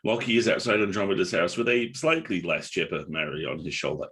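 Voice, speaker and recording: A man with a low, husky voice narrates a script. He reads out stage directions for an audio drama. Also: animated, a little fast, a bit bright.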